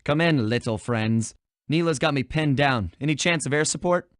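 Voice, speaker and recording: A man's voice: fairly high pitch, moderate pace, no room sound, clean studio sound, close to an everyday voice. A man voicing a suave young animal thief in a cartoon video game, wry, casual and unflappable. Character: suave, laid-back, wisecracking young male animal thief.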